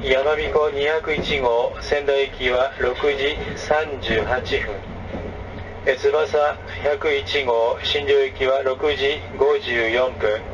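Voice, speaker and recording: A male train conductor making formal onboard announcements through a public address speaker, with measured phrasing and frequent filler sounds. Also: mid-range voice, fairly even intonation. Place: moving overnight sleeper train. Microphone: train public address system, recorded from a passenger seat. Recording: distant, dull, noisy 2006 recording of train PA. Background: train running noise.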